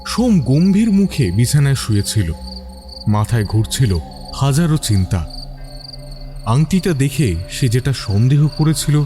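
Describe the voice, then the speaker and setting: A man narrating a scripted suspense story with deliberate phrasing and dramatic pauses. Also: mid-range voice.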